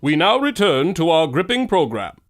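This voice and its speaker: Casting: booming vintage radio announcer, solemn yet lively, slightly high. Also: male voice, normal speed, a little hiss or hum.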